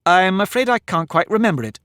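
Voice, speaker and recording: A man's voice: high voice, big pitch swings, normal speed, fairly bright tone, dry recording, studio quality, pitched above the performer's own voice. A man voicing a polite, earnest young girl in a storybook reading.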